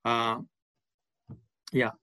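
Male interviewee, 60s, medium pitch, discursive conversational delivery, close dry recording without room echo. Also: completely flat delivery, drawn-out words, pushed and bright, smooth. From born in India, grew up in Malawi. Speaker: podcast guest, charity development manager. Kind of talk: conversational interview, reflective account. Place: remote online call.

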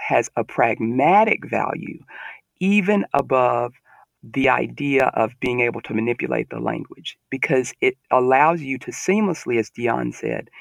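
A man's voice: mid-range voice, vocal fry, dry recording. A man with a Southern American accent, talking earnestly and with emphasis in a podcast discussion.

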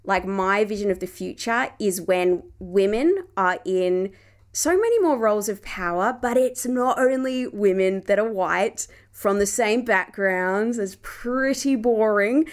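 A woman's voice: medium pitch, a little slow. Effusive woman podcast host. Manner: effusive, enthusiastic. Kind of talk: live online conversation, then podcast outro. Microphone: live-stream call.

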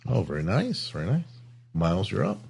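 A man's voice: lowish voice, big pitch swings, gravelly, a little mellow, husky. A man hosting a podcast in casual chat, with a jovial, easygoing way of talking. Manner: jovial, quick to laugh. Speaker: podcast host.

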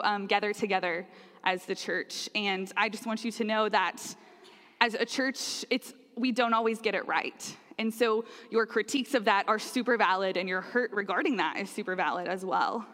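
A woman's voice: medium pitch, steady tone, normal speed, fairly bright tone. A young woman from Oklahoma, giving a friendly, conversational sermon with frequent fillers. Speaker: preacher. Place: church sanctuary. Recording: long church reverb.

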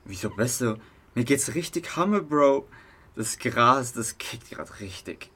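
A young man playing a lovesick, awkward, stoned partygoer in an audio drama, halting and earnest. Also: mid-range voice, lively intonation, pushed and bright, husky, heavily acted. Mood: false bravado. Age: young adult. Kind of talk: amateur audio drama dialogue. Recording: music behind the voice.

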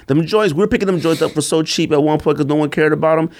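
A man's voice: fairly high pitch, quick pace, a little mellow. An American man co-hosting a podcast with casual sneaker and sports banter, talking in a slangy, emphatic way and teasing argumentatively. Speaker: podcast co-host.

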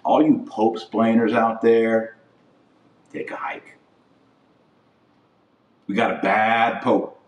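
A man's voice: fairly low pitch, level tone, takes it slowly, long pauses. A man hosting a religious podcast, delivering an impassioned opinion monologue in a blunt, emphatic, repetitive way. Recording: roomy echo, distant from mic.

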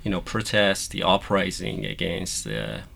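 A man's voice: sits low, very animated, a little slow, vocal fry, bright, forceful tone. A man who grew up in Iran, speaking earnestly as an interview guest with frequent fillers.

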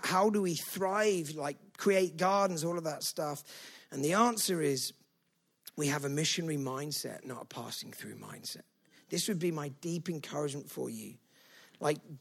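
A man's voice: on the high side, creaky. A man preaching a sermon to a church, emphatic and conversational, often adding "right?". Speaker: pastor.

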